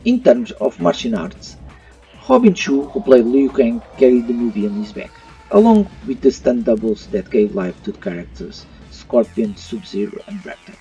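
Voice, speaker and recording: A man narrates an opinionated review as the host, speaking English as a second language. His delivery is animated and his tone is soft and mellow. He sounds set back from the microphone, with faint music underneath. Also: mid-range voice, moderate pace, recorded from a distance, lo-fi sound. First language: not English. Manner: non-native phrasing. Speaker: host of a retro gaming video channel.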